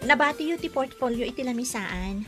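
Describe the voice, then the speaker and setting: A woman playing a patient nanny and housemaid in a radio drama, gentle and politely deferential. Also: high-pitched, animated, fairly quick, loud music behind the voice.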